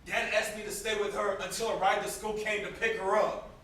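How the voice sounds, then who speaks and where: In a very echoey, noisy stage recording, a man plays a bitter young man racked with guilt. His voice is high and bright, and he declaims vehemently in amateur stage-drama style. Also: flat delivery, a little fast, distant mic. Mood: anguished guilt. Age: young adult. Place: indoor stage, recorded from a distance.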